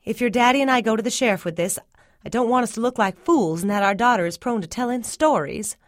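Exasperated rural mom for an audiobook, played by a woman narrator: high-pitched, pressing, worried, close dry sound. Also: expressive, fast, dry recording, natural voice. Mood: anxious, insistent. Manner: scolding, pressing with questions. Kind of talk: audiobook character dialogue.

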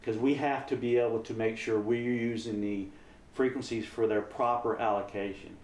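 A man's voice: mid-range voice, fairly even intonation, normal speed, a little mellow. A man speaking casually as an amateur radio operator being interviewed, explaining how things work. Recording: roomy echo, some background noise.

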